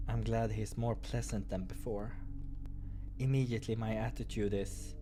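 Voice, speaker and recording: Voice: medium pitch, little pitch movement, moderate pace. A person narrating a visual novel playthrough, reading aloud and adding casual commentary. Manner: acts out dialogue between asides. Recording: clear background noise.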